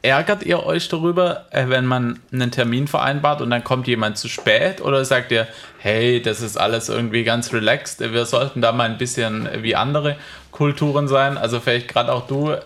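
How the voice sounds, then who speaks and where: A man's voice: mid-range voice, fairly even intonation, normal speed, a bit bright. A young man hosting a radio panel show, asking questions and keeping the talk on schedule, with many small fillers. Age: young adult. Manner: chatty moderator with frequent fillers.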